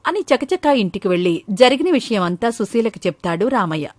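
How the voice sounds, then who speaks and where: A woman's voice: a little high, big pitch swings, a little fast, darkish tone, no echo. A woman storyteller reading scripted narration for a children's moral story.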